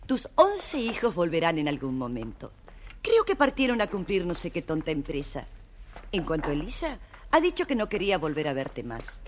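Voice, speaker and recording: A woman's voice: lowish voice, wildly swooping pitch, normal speed. A woman playing a domineering, wicked witch queen and stepmother in an audio fairy tale. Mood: falsely soothing. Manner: imperious, commanding. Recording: slightly noisy vintage story recording.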